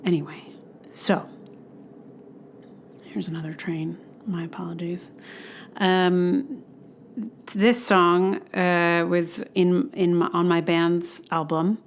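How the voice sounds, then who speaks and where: A woman's voice: lowish voice, fairly even intonation, slow pace, long pauses, a little hiss or hum. A middle-aged woman hosting a podcast solo in casual, rambling talk that often interrupts itself and apologizes. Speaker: podcast host.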